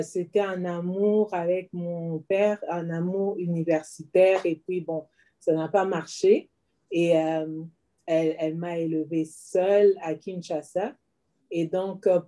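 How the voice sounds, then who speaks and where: A woman's voice: fairly low pitch, dark tone. A middle-aged woman from Congo, telling her life story as an interview guest in a modest, earnest way.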